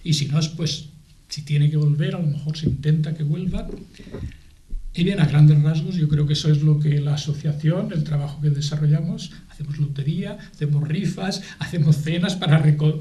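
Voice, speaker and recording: A middle-aged man with an accent from Spain, explaining things in a TV debate in a halting way, repeating and rephrasing as he goes. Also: a little high, fairly even intonation, warm tone. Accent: Spanish from Spain. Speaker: guest, aid association spokesman. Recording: echoey room.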